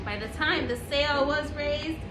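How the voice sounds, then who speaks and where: A woman reading a picture book aloud to young children, phrasing deliberately with exaggerated emphasis. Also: sits high, roomy sound, background noise. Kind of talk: animated children's story read-aloud.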